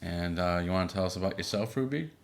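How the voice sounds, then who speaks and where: A man in his thirties co-hosting a podcast with his wife, chatting casually with a relaxed, filler-laden manner. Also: sits low, fast talker, gravelly. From Boston area. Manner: relaxed, many fillers and restarts. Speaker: podcast co-host. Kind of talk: casual chat.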